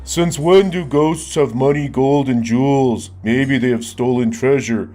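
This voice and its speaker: A man voicing Marcel, a young boy in a children's storybook, speaking slowly and in a questioning, drawn-out way. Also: mid-range voice, mellow, a little hiss or hum, voice pushed up, close to an everyday voice. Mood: puzzled. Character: young boy Marcel, curious then reluctant.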